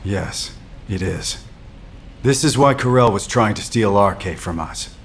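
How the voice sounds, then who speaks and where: A man's voice: fairly low pitch, animated, slight background noise. A man playing a stern military commander in a sci-fi game, speaking in a formal, authoritative briefing style. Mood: somber. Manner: authoritative, measured.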